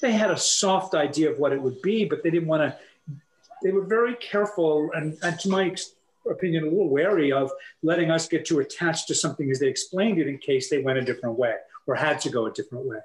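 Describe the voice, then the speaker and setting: A man co-hosting a casual podcast chat, telling animated stories and acting out the lines of the people in them. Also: a little high, fast, roomy sound, poor audio. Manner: animated storyteller who acts out quoted dialogue. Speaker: actor and podcast co-host.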